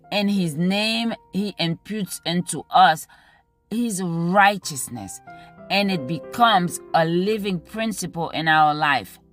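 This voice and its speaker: Slow, low-voiced woman reading a devotional. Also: a bit bright. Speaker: devotional reader. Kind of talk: devotional reading of scripture and inspirational text. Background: music under the voice.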